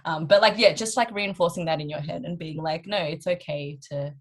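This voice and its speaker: This is a young Australian woman in her twenties with a notably low voice. She co-hosts a podcast in a loose, conversational style. Her accent is Australian. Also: fairly quick. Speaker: podcast co-host. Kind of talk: casual group chat.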